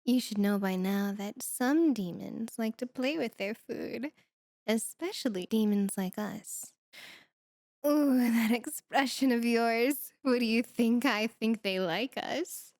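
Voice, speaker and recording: A woman's voice: fairly high pitch, slow pace, a little mellow, no echo, clean studio sound. A woman voicing a teasing, confident succubus demoness in an ASMR roleplay, with a sultry, coaxing manner. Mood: seductive, playful.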